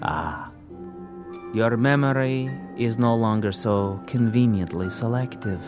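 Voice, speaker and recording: Casting: male actor as vengeful but controlled spirit of a murdered prisoner, radio play. Medium-pitched, formal, grave, faint music underneath. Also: lightly acted. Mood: coldly ironic. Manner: measured, grave, coldly ironic, formal. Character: solemn, accusing ghost of murdered male camp prisoner, implacable. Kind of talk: radio drama acting.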